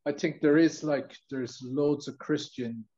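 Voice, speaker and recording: A man's voice: a little high, steady tone, a little slow, mellow. A man chatting casually in a group, a little hesitant as he searches for words. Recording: slightly dull, lo-fi sound.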